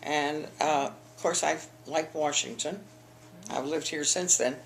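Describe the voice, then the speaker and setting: A woman's voice: low-pitched, measured pace, faint music behind, rough recording. An older woman from the eastern United States, telling her school memories in an oral history interview, rambling with frequent restarts. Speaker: interviewee, alumna.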